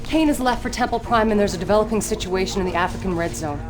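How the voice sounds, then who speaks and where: An actress playing a fanatically loyal, jealous military officer in a video game, with an intense, dramatic, clipped delivery. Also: female voice, mid-range voice, quick pace, close to an everyday voice. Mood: briefing, urgent. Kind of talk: video game cutscene acting. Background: loud dramatic music.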